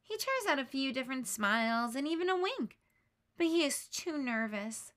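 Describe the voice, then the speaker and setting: A woman teacher reading a children's picture book aloud, expressive and lifting her voice for the characters' lines. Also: normal speed. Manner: expressive, lifts pitch for quoted lines.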